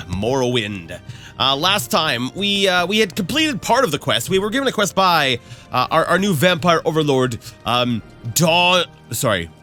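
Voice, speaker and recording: A man's voice: on the high side, sharp tone. Nasal man rambling through game commentary. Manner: rambling, self-correcting, thinks aloud. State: recovering from a cold, slightly cracky voice. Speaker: let's play host. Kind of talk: casual gameplay commentary.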